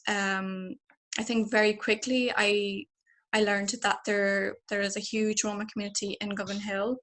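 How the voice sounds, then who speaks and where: A woman's voice: mid-range voice, fairly bright tone. A woman in her twenties from Romania, telling her personal story earnestly on a video call panel, with many fillers and restarts. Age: 20s. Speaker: panel speaker. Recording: clear noise behind, baby at home.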